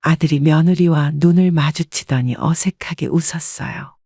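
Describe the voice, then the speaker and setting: A woman calmly narrating a family story in the first person as a scripted audiobook. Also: low voice, moderate pace, no echo, clean studio sound. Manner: calm, even storytelling.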